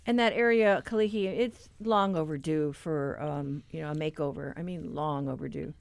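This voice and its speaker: A woman's voice: low-pitched, normal speed, vocal fry, no echo. A woman hosting a public radio program in a conversational interviewing style. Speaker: radio show host.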